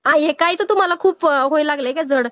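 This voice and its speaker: Marathi lady on a muffled phone recording, high voice, telling someone off, keeps pushing questions. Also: fast talker, fairly bright tone, no echo. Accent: Marathi, Maharashtra. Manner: scolding, insistent, moralising, rhetorical questions. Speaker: old-age home worker taking a call. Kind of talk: phone counselling conversation, admonishing. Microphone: phone call.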